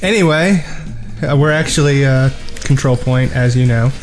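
A man's voice: mid-range voice, moderate pace, faint music behind. A man co-hosting a podcast in casual banter, dry and teasing. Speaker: podcast co-host.

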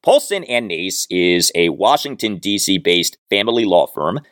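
A man hosting a sports podcast solo, speaking in emphatic, deliberate phrases with heavily stressed words. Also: low-pitched, lively intonation, a bit bright, no room sound, clean studio sound. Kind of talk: sports commentary monologue with read-out listener mail and ad reads.

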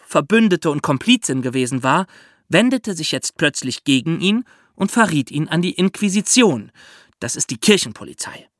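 Bright-voiced man telling history. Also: a little high, dry recording, clean studio sound. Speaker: podcast host. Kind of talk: conversational history storytelling.

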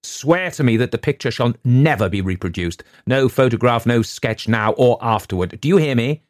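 A man's voice: low voice, a little slow, no room sound, studio quality. A man voicing an irascible old father who guards a painting, snarling and scornful in a storybook reading. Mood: vehement. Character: irascible old male art-collector father. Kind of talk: audiobook reading.

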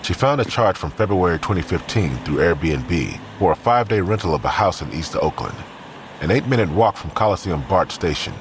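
A man's voice: deep voice, lively intonation, fairly quick. A man reading scripted narration as the narrator of an audio drama. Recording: clearly noisy background ambience.

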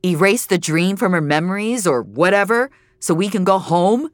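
A woman's voice: fairly low pitch, expressive, normal speed, no echo, studio quality, voice pushed up, natural voice. A woman voicing an exasperated, incredulous father in an audio drama, agitated and grumbling. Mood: angry, pleading. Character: frantic, exasperated father, male film actor. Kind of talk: dramatic audio fiction dialogue.

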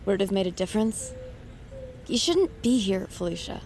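A woman's voice: medium pitch, expressive, moderate pace, darkish tone, natural voice. An actress playing a guarded, troubled, protective young woman in an audio drama, tense and evasive. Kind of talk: audio fiction acting. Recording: clear noise, barking dogs.

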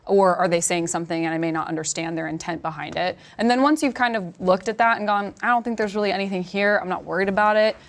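A woman with a deep voice, a podcast guest giving earnest advice in casual chat. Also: fast, few pauses.

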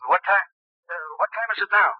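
An actor playing a nervous, desperate insurance customer making a phone call in a 1940s radio drama. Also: male voice, sits high, fairly quick, breathy edge, no room sound. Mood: anxious, desperate. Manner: nervous, hesitant. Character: anxious, desperate male insurance policyholder on telephone. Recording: dull, dry old radio recording.

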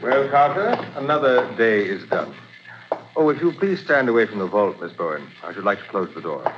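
An actor playing a formal, courteous museum curator in a 1940s radio drama, speaking in a measured way. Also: male voice, on the low side, sing-song. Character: formal older male museum curator, doctor. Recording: dull lo-fi 1940s radio recording with hiss.

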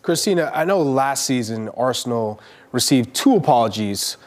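Man, middle pitch, incredulous, keeps pressing. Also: lively intonation, normal speed. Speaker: podcast co-host. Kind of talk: podcast discussion.

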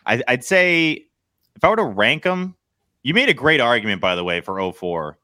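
A man's voice: medium pitch, very animated, normal speed, bright, forceful tone, no echo, clean studio sound. An American man in his thirties talking casually and with opinions, like a sports podcast co-host. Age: 30s.

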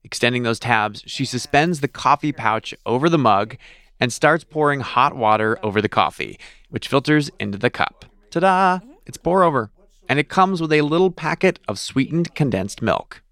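A man hosting a podcast, reading scripted narration in a lively, playful storytelling way. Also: medium pitch, normal speed, no room sound.

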